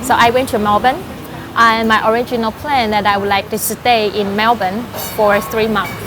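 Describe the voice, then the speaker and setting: A woman's voice: fairly high pitch, fairly even intonation, normal speed. Woman with a noisy background. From Taiwan. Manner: chatty, with frequent fillers and light laughs. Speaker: interview guest, life and business coach. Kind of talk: casual interview answers.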